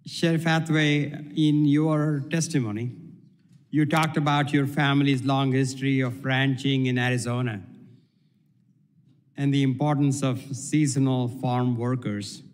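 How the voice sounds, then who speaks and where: A man, a congressman, reading prepared questions to a witness in a deliberate way. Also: mid-range voice. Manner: slow, deliberate, reads prepared remarks. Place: large hearing room. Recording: long reverb of a hearing room.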